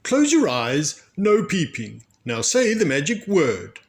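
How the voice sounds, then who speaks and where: A man's voice: fairly high pitch, huge pitch swings, slow pace, voice pushed up, exaggerated voice. A man voicing a cheerful father pig who plays magician, announcing grandly in a storybook reading.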